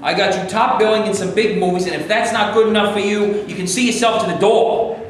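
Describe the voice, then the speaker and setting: A very echoey, distant recording of a staged reading carries a man as a hard-nosed studio boss. His voice is high, and he argues defensively in an emphatic, businesslike way. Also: fairly even intonation, fairly quick, natural voice. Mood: curt. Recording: echoey live room, distant camera, noisy.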